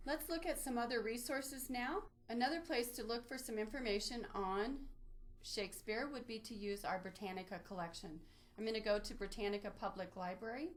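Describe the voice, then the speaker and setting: A woman with a mid-pitched voice leads an online training session. She talks through each step in an informal, explanatory way, as a presenter demonstrating a resource on screen. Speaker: librarian presenting a database tutorial. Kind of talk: instructional screen-share webinar walkthrough.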